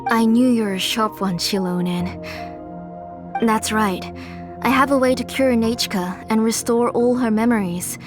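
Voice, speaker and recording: Woman playing soft motherly woman, slightly high voice, calm and gentle, game cutscene with music behind. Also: a little slow, natural voice. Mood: resolved. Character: gentle mother caring for her ill daughter. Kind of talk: dubbed video game cutscene.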